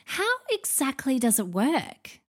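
Podcast host lady doing voiceover, lively up and down voice. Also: sits high, slow. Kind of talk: voice-over narration.

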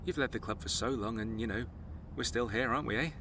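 A man's voice: mid-range voice, fast, background noise, close to an everyday voice. An actor playing a nervous, rambling young man on a football club's staff, stammering and apologetic. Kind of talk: audio drama acting.

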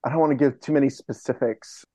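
A man chatting informally and a little haltingly as a guest in a casual podcast interview. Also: mid-range voice, steady tone, dark tone, no room sound. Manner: informal, halting, hedging with many "like"s. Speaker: podcast guest, co-creator of a fiction podcast.

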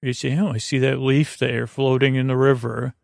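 A man with a creaky voice hosting a sleep podcast, rambling in a soothing, halting way and stammering over repeated words. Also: medium pitch, level tone, darkish tone, no echo, studio quality. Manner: soothing, lulling. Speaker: podcast host. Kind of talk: rambling bedtime monologue to lull listeners to sleep.

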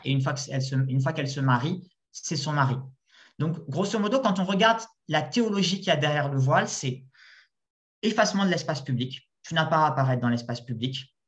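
A man's voice: on the high side, a bit bright. A man in conversation explaining his view at length, with frequent hesitations and restarts. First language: not Arabic. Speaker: discussion guest. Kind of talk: casual spoken debate.

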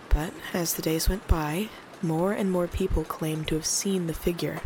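Actress playing a spooky late-night radio hostess, low-pitched. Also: female voice, noisy background, close to an everyday voice. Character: female late-night small-town radio host, concerned and eerie. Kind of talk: audio drama radio host narration.

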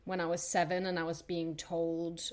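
Lady on a podcast, lowish voice, talks slow and reflective with lots of ums. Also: monotone. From Denmark. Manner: soft, thoughtful, many pauses and fillers. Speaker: podcast guest. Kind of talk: reflective personal storytelling.

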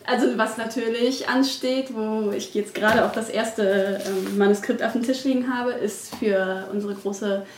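A woman's voice: a little high, steady tone, measured pace, hardly any pauses. A woman chatting casually as a publishing staff member being interviewed in an office. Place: indoors in an office. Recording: echoey room, faint background noise.

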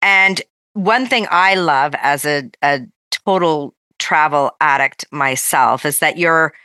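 A woman's voice: low voice, sharp tone, clear, resonant tone, no echo. A woman hosting a podcast interview, affirming and enthusiastic, often murmuring agreement. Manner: affirming, enthusiastic, frequent back-channel. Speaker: podcast host. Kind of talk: conversational interview.

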